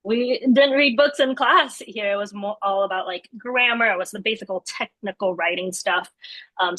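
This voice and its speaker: A woman's voice: medium pitch, fairly bright tone, no room sound, low-quality recording. A young adult woman from Singapore, a guest in a casual interview, talking thoughtfully and laughing often.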